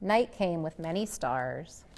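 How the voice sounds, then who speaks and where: A woman who works as a librarian reads a picture book aloud expressively, with dramatic pauses and emphasis on key words. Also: fairly low pitch, lively intonation, a little mellow. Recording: echoey, long room reverb.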